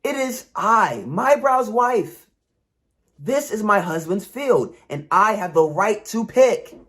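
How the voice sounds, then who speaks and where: A man's voice: sits high, animated, slow, a bit bright, pitched above the performer's own voice, full character voice. A man voicing a farmer's proud, indignant wife in a folktale, speaking emphatically and haughtily.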